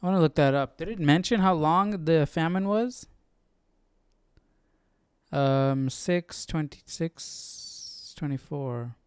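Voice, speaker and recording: A man's voice: a little high, slow, pauses often, a little mellow, smooth, dry recording. A man hosting a casual Bible study stream, musing and questioning aloud. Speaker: Bible study livestream host. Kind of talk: casual spoken reactions while studying.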